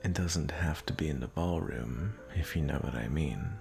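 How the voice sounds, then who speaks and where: A man playing a smooth, possessive prince who flirts and coaxes in a romantic audio roleplay. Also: rumbling low, normal speed, darkish tone, faint music behind, exaggerated voice. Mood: flirtatious, coaxing. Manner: suave, flirtatious, coaxing. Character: smooth, possessive suitor prince courting his arranged bride.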